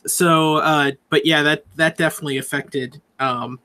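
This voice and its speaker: Bright, higher-pitched man chatting. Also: steady tone, normal speed. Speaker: voice actor, podcast guest. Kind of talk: casual chat.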